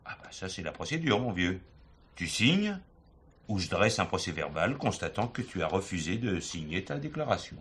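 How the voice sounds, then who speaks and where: An actor playing a sardonic police inspector in a stage play, needling and familiar. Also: male voice, deep voice, very animated, fairly bright tone. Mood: smug, pressing. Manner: familiar, needling, dictates slowly and deliberately. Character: wily, sardonic male police inspector grilling a suspect. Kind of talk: filmed stage play acting. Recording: hissy 1970s theatre recording.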